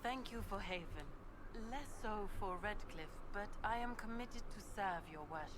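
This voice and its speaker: A woman's voice: medium pitch, moderate pace, fairly bright tone, natural voice. An actress playing a dutiful, reserved female agent in a fantasy video game, speaking formally. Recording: distant, roomy game audio, faint noise.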